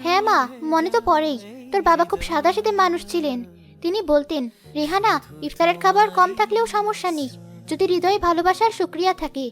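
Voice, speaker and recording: Woman as pious cartoon mother, high-pitched, gentle, patient, consoling, soft music. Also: fairly quick. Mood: wistful. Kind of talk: cartoon dialogue.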